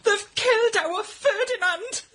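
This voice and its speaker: Very shrill, overwrought cleaning lady. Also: a little slow, noisy background. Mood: distraught. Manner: tearful, melodramatic. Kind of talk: radio comedy acting.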